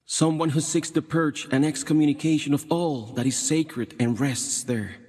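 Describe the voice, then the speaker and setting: An actor playing a resolute, devout warrior in a fantasy game, with a husky voice and a grave, portentous manner. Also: male voice, fairly high pitch, fairly even intonation, moderate pace, a little mellow. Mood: foreboding. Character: resolute, devout male warrior addressing his sister. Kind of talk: scripted fantasy game dialogue. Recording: long reverb.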